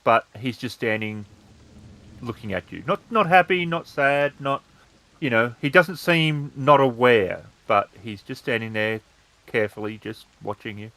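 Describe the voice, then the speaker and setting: A man narrating a tabletop roleplaying game as game master, in a halting, measured way. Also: mid-range voice, lively intonation, fairly bright tone, no room sound, poor audio.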